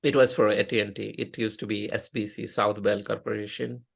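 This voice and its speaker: A man's voice: on the low side, flat delivery, a little fast, vocal fry, husky. A middle-aged man from India with an Indian accent, a native Oriya speaker, telling his story in a casual interview with many fillers and restarts. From India (Odisha). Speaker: interview guest, software engineer.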